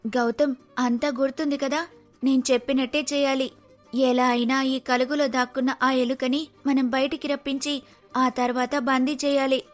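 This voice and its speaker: High-voiced bold female undercover officer played by a woman. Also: level tone, normal speed, faint music behind, lightly acted. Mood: determined. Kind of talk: dramatic moral-story voice acting.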